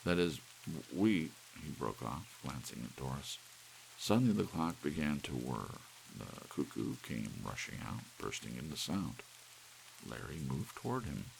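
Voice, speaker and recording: A man's voice: rumbling low, measured pace, vocal fry, rough recording. A man narrating fiction as a soothing ASMR audiobook. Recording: steady soft rain hiss behind. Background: soft rain.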